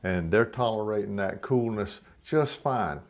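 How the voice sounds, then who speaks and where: A man's voice: lowish voice, lively intonation, a little slow. A man narrating a homemade hobby video in a plain, folksy way. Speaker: hobby quail keeper presenting a video.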